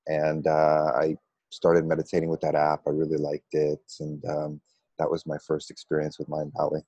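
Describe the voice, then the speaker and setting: A middle-aged American man with an extremely low voice and a flat, steady melody. He tells his story conversationally as an interview guest, pausing and hesitating often. The sound is close and dry. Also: slightly rough, dry recording, highs cut off. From United States. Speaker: interview guest, meditation coach.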